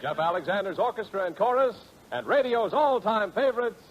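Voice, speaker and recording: A man's voice: high-pitched, animated, fairly quick, slight background noise. A man announcing from a script in the style of 1940s radio. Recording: muffled vintage radio recording. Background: loud orchestral music.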